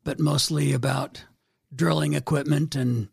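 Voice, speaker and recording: Man, middle-aged, podcast guest, measured, gravelly, dry sound. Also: fairly high pitch, fairly even intonation, no echo. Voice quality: gravelly, husky. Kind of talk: casual podcast chat.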